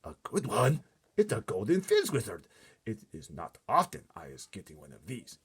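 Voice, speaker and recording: A man voicing a huge, excitable giant in a storybook, kindly but easily agitated, with muddled folksy grammar and theatrical exclamations. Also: lowish voice, normal speed, husky, pitched above the performer's own voice, exaggerated voice. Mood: thrilled.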